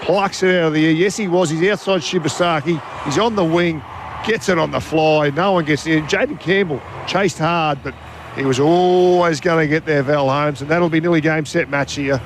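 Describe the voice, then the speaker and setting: A man's voice: fairly high pitch, normal speed. A man calling rugby league as a live sports commentator on a noisy radio broadcast.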